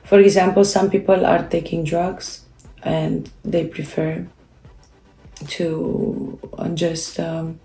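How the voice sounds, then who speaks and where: A woman whose first language is Romanian, giving a casual motivational talk in a halting way, with many fillers and restarts. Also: on the low side, warm tone, roomy sound. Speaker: self-help creator.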